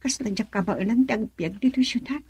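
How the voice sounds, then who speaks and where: A woman's voice: a little high, brisk, darkish tone, husky, no echo. An actress playing a humble, worried village woman in a Filipino radio drama, speaking with a Filipino accent. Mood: subdued, worried.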